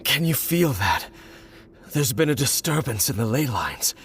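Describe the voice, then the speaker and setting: An actor playing a grave, mysterious male wanderer in a video game, giving measured, commanding orders. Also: very animated, vocal fry, slightly rough, exaggerated voice. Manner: measured, commanding, low-key delivery. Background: soft game music.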